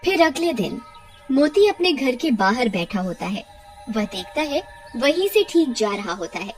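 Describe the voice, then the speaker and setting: A woman's voice: high-pitched, very animated, measured pace, soft background music. A woman narrating a scripted cartoon horror story.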